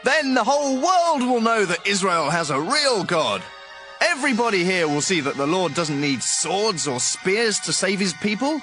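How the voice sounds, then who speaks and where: An actor playing a bold, devout young shepherd in an audio Bible drama, speaking with earnest, declamatory confidence. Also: male voice, high-pitched, big pitch swings, moderate pace, music in the background. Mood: defiant, fervent.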